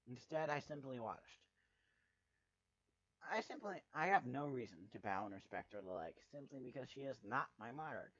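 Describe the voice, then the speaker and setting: A man's voice: medium pitch, dry recording. Male fan fiction narrator, sardonic and exasperated, dry close sound, slightly lo-fi. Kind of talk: dramatic first-person story narration.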